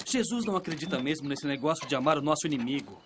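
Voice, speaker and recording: An actor playing a distrustful, defiant Judean zealot with a scornful, emphatic delivery in an animated Bible drama. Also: male voice, a little high, very fast, background noise, close to an everyday voice. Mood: scornful suspicion. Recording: loud music under the voice.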